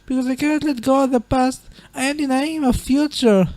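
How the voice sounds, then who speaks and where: A woman playing a gentle, proper, remorseful monster queen and mother in a dramatic comic dub, with formal, refined diction. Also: high voice, fairly even intonation, fairly quick, dry recording, natural voice. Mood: anguished, self-blaming.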